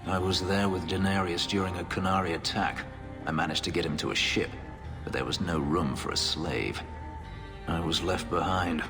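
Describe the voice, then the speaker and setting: Deep, grave ex-slave elf warrior; controlled, clipped; reverberant game audio with music. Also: male voice, fairly even intonation, moderate pace. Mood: wry. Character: brooding elven male ex-slave warrior, bitter. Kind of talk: video game voice acting.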